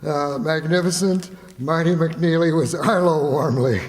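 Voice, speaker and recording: High-voiced man, halting, in noisy echoey hall. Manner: halting, chuckling, rambling and jokey. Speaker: host of a radio players' stage show. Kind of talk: informal curtain-call thanks to an audience. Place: stage in a hall before a live audience. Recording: echoey hall, audience, background noise.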